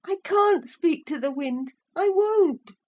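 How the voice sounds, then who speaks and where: A woman voicing a timid, frightened little boy in a storybook reading, with a high, tearful voice. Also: expressive, takes it slowly, darkish tone, exaggerated voice. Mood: fearful.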